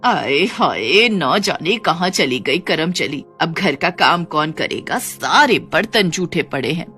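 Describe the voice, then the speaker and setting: A woman voicing a cruel, scolding old mother-in-law with drawn-out, taunting exclamations in a cartoon story. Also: low voice, sing-song, pushed and bright, soft background music, pitched below the performer's own voice, heavily acted. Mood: annoyed, callous.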